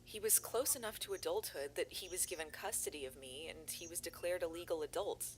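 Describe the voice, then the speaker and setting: A woman's voice: on the low side, a little fast, slight background noise, close to an everyday voice. A woman playing an anxious young woman missing her brother. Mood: worried. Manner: anxious, rambling, earnest. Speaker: videographer. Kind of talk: analog horror audio drama.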